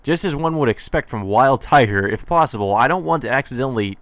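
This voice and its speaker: A man's voice: mid-range voice, expressive, fast, fairly bright tone, no room sound. A man voicing an earnest, plain-spoken teenage boy hero from an anime game. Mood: dry, bemused. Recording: game music behind the voice.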